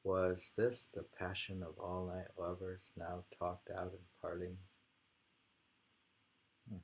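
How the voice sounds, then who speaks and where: Husky older-style gravelly guy, slowly reading poems and chatting about them, kinda halting. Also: sits low, completely flat delivery, low-quality recording. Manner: halting, musing. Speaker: reader and host of poetry readings. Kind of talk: casual poetry reading and discussion.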